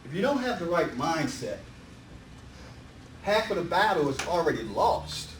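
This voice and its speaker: A man's voice: fairly high pitch, very animated, unhurried. A man giving a motivational seminar presentation in an emphatic, repetitive way, full of rhetorical questions. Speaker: real estate seminar presenter. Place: indoor meetup presentation room. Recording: distant, echoey live room with background noise.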